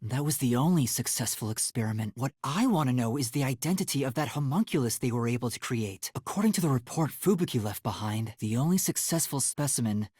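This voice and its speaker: Young male trainee detective for an anime video game: sincere, easily flustered, highly expressive intonation, soft husky breathiness, close dry sound. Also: a little fast, few pauses, no echo. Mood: pensive, explaining. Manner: earnest, hesitant, prone to startled yelps.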